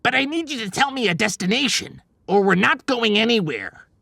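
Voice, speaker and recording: A man's voice: extremely high pitch, very animated, fairly quick, creaky, pushed and bright, no echo, studio quality, pitched above the performer's own voice, full character voice. A man voicing a cocky, skeptical little male elf in an audiobook, brash and sardonic. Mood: businesslike.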